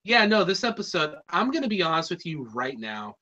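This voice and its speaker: A man's voice: high-pitched, big pitch swings, a little fast, pushed and bright. A man with a Californian accent, chatting casually as a reviewer, rambling and slangy. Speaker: reviewer, podcast host.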